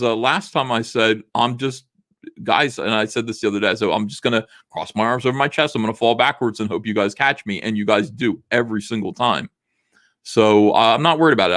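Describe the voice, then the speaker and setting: A man in his forties hosting a casual livestream, reading viewer messages aloud, rambling with lots of fillers while his voice is going rough. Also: medium pitch, fairly bright tone. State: losing his voice. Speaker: livestream host. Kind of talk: casual livestream chat reading super chats.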